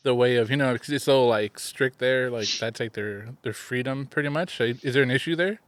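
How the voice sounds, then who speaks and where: A young man in casual podcast chat, easygoing and quick to react, saying "dude" a lot and laughing along. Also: mid-range voice, fairly bright tone, no room sound.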